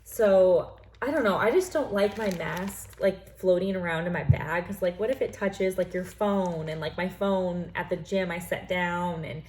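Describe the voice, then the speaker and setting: A woman's voice: lowish voice, unhurried, a little mellow, a little hiss or hum. A young woman from the United States chatting casually through her bag in a vlog, rambling with lots of fillers and sounding congested from allergies. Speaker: lifestyle vlogger.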